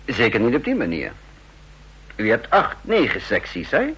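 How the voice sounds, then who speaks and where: A man's voice: medium pitch, huge pitch swings, moderate pace, fairly bright tone, husky, highs cut off, full character voice. An actor playing a shrewd male counterintelligence chief who also narrates the radio drama, speaking with dry, self-assured irony. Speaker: narrator and lead character. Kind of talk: vintage radio drama acting.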